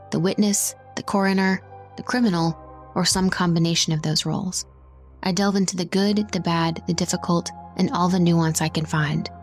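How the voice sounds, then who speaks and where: A woman podcast host reading a scripted promotional narration. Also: lowish voice. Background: music bed.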